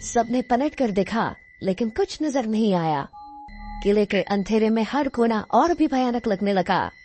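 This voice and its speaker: High-voiced woman narrating a horror story. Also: expressive, unhurried, darkish tone, soft background music.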